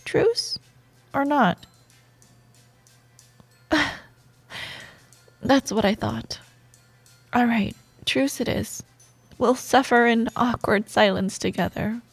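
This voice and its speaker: A woman acts out a bantering female office rival with a soft, admiring side, for a romantic audio roleplay. Her delivery is slow and teasing, full of expressive pauses. The voice is close and dry over faint music. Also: fairly high pitch, husky, dry recording, full character voice. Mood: grudging, playful banter.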